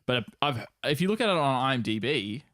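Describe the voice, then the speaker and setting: A man's voice: medium pitch, very animated, fast, bright, forceful tone, no room sound. Man, podcast co-host, blunt, sweary, casual chat, dry recording. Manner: blunt, profane.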